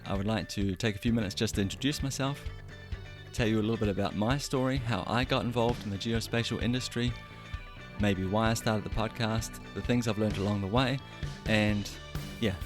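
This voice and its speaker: A man in his thirties from New Zealand with a New Zealand accent, hosting a solo podcast in an earnest, self-deprecating way with halting restarts. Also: on the low side. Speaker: podcast host.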